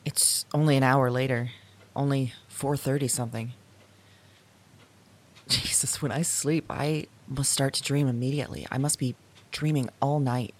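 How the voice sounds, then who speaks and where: An actress playing a sleepless, haunted woman who confides her dreams to an audio diary, halting and drowsy, sometimes slipping toward a whisper. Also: a bass voice, fairly even intonation. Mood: weary, intent. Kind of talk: intimate audio drama monologue as recorded diary.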